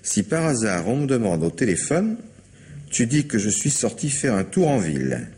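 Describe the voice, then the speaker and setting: Very deep, husky police inspector. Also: male voice, sing-song, normal speed, dark tone. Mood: reading aloud, wry. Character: male police inspector, matter-of-fact subordinate with dry asides. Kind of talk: French radio crime drama acting.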